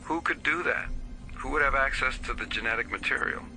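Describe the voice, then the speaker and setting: A man playing a dry, sardonic FBI agent in an audio drama, deadpan and full of probing questions. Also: mid-range voice, a little fast, faint music behind, low-quality recording. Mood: deadpan investigating.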